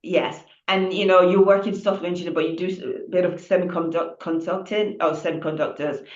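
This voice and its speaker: A woman hosting an interview over a video call, rambling warmly through restarts and fillers. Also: fairly low pitch, steady tone, fairly quick. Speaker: interview host. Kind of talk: casual interview chat. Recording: dull, roomy sound.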